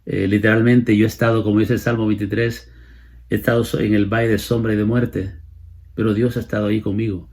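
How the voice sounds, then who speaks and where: A man's voice: lowish voice, little pitch movement, a little fast, darkish tone. A man in his late fifties with a Salvadoran accent, preaching a sermon and explaining scripture, repeating phrases for emphasis. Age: late 50s. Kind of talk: sermon, Bible exposition.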